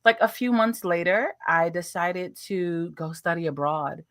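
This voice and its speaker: An American woman in her late thirties, a guest telling her travel story in a casual interview, animated with playful asides and laughter. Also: fairly low pitch, unhurried, dry recording. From United States.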